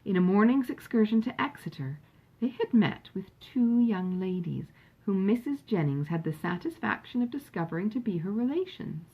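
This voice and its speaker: A woman reading a classic novel aloud as an audiobook narrator, in an expressive, measured storytelling manner. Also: mid-range voice, slightly rough, lo-fi sound.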